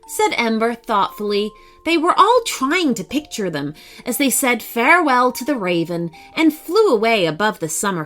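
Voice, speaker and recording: Lively, unhurried woman telling bedtime stories. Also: fairly high pitch. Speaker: children's storyteller. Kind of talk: expressive scripted bedtime story narration. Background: faint music.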